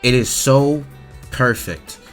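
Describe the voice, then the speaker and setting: A man giving casual, slangy, profane commentary as he rates video game voice lines, with an animated, emphatic delivery. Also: medium pitch, slow pace, soft background music.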